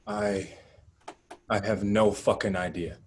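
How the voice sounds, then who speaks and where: A man performs a guarded, worn-out activist in a drama table read. His voice sits on the low side and moves slowly. He is subdued and terse, halting between phrases. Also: fairly even intonation, slight background noise. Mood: rattled, deadpan. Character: weary, guarded male animal rights activist. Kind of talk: screenplay table read acting.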